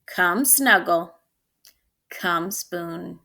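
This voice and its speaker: Woman playing a gentle, unhurried mother spoon. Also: lowish voice, creaky, pitched below the performer's own voice, exaggerated voice. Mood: tender reassurance. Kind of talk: children's storybook read-aloud.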